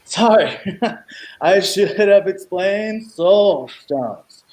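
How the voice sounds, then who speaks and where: A rambling, irresponsible stoner gamer acted by a man from a superhero radio comedy. His voice sits high for a man, and he is middle-aged, talking over a video call. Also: animated, extremely slow, a little mellow, exaggerated voice. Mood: enthusiastic explaining. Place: room at home, video call.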